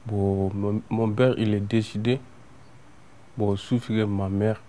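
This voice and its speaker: A young man from Mali, a student, giving personal testimony haltingly and repeating words. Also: fairly low pitch, little pitch movement, dark tone, slight background noise. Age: young adult.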